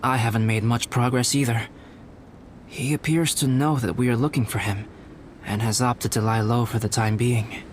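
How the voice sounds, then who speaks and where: An actor playing a calm, analytical young alchemist in a video game, speaking in a measured, polite way. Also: male voice, medium pitch, slight background noise, lightly acted. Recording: game audio with music.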